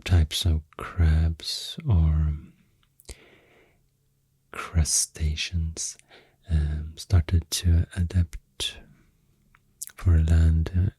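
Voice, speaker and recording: A man with a Swedish accent softly rambling through an ASMR explanation, breathy and often near a whisper, soothing and hesitant in manner. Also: very deep voice, flat delivery, drawn-out words, long pauses, dry recording. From Sweden. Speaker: ASMR host.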